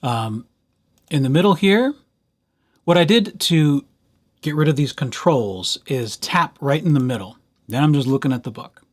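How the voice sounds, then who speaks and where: A man giving an informal software tutorial as a library instructor, talking conversationally with lightly playful asides. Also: a little high, animated, measured pace.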